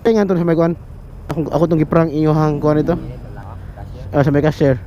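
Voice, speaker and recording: A man's voice: on the high side, little pitch movement, a little fast, warm tone, highs cut off. A Filipino man with a Visayan accent chatting casually as a prank vlogger outdoors by a roadside. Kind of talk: casual chat and banter. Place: outdoor drive-thru among traffic. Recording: noisy outdoor roadside with vehicle sounds. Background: cars, motorcycles, street ambience.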